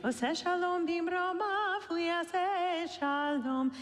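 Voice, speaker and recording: A woman leading a synagogue service, speaking earnestly and singing and chanting slow, heartfelt melody lines. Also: very high voice, a bit bright, long reverb tail. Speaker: cantor or song leader at a synagogue service. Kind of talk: introducing a song, then singing and chanting liturgy. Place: synagogue sanctuary, live broadcast. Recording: live service broadcast with music. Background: accompanying music.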